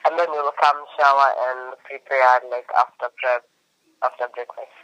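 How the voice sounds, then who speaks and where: A man's voice: mid-range voice, little pitch movement, moderate pace, bright, forceful tone, smooth, no echo, dull audio. A man, co-hosting a casual chat in a playful, joking way and laughing often. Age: 30s. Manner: playful, laughs often, mixes Swahili and English. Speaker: podcast co-host.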